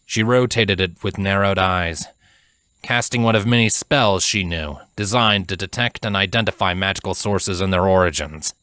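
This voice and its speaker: Low-voiced man narrating a story. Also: pushed and bright, no room sound, studio quality. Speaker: fan fiction narrator.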